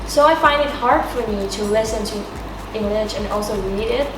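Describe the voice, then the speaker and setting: Halting teenage girl in echoey room. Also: on the high side, music in the background. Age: teenager, high school student. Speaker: student panelist. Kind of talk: casual discussion of school life. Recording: echoey room, distant mic, clear noise.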